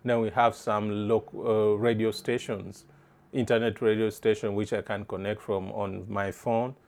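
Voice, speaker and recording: A middle-aged man from East Africa answers questions in a lowish voice. He speaks haltingly, often restarting a phrase while he looks for the right word. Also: level tone, a little mellow. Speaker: interviewee. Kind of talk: casual interview answers.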